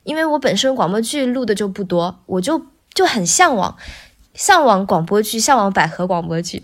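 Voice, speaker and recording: A woman's voice: medium pitch, lively intonation, normal speed. A woman speaking casually as a voice actor guest on a podcast, explaining things and often rephrasing herself as she goes.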